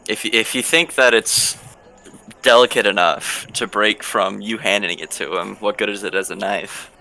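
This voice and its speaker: A man's voice: fairly low pitch, steady tone, normal speed, creaky, pushed and bright, low-quality recording. A man talking casually as a player in a tabletop role-playing game. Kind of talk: casual chat.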